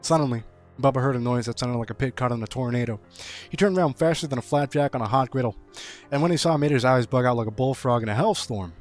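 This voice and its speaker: A man's voice: medium pitch, faint music behind, natural voice. A man voicing a folksy rural storyteller, spinning a playful spooky tall tale read aloud. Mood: folksy, animated. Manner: folksy, playful, drawn-out similes.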